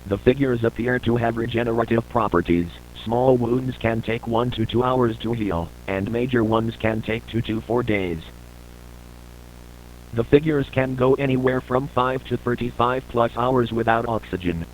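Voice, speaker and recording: A man reading scripted documentary-style narration for an analog horror piece, in a formal, measured report delivery. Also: medium pitch, a little hiss or hum, rough recording.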